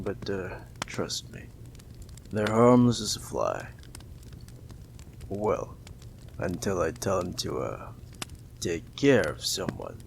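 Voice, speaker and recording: A possessive mob boss, voiced by a man, with a low, gravelly voice that drawls slowly through long pauses. His tone swings between high and low as he teases and talks down, calling the listener darling. A close, intimate audio drama recording with a faint hiss underneath. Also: darkish tone, heavily acted. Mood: teasing menace. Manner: teasing, condescending, calls listener darling. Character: smug possessive male mafia boss, menacing and flirtatious. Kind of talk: ASMR audio roleplay.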